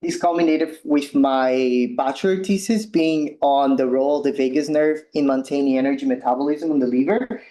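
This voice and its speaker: A young man from Brazil with a Brazilian Portuguese accent, giving a relaxed science talk as a postdoctoral researcher, with ums and slightly loose non-native phrasing. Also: medium pitch, normal speed, few pauses. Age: young adult. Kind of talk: informal science presentation.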